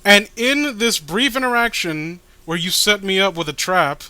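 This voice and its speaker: Man putting on a high, gushing lovesick comic-book guy. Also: normal speed, sharp tone, clear, resonant tone, no room sound. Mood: grateful, needy. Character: lonely, earnest, lovesick male comic-book character. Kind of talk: dramatized comic reading.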